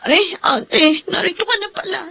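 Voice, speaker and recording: An actress playing a sulky, whiny, defensive teenage younger sister in a Filipino radio drama. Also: female voice, extremely high pitch, normal speed, bright, forceful tone. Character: sulky, frustrated teenage younger sister, a student keeping a secret.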